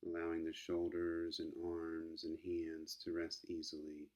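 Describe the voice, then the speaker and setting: A man leading a guided meditation as a teacher, speaking gently and calmly with long unhurried pauses. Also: deep voice.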